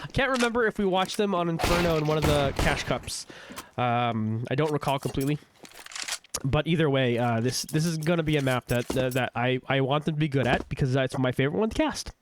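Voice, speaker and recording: A man's voice: a little high, expressive, brisk, background noise. A young man casting a game on a livestream with a cold, chatty and rambling, with many fillers and restarts. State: has a cold, stuffy. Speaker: esports shoutcaster. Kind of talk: casual play-by-play commentary on a livestream.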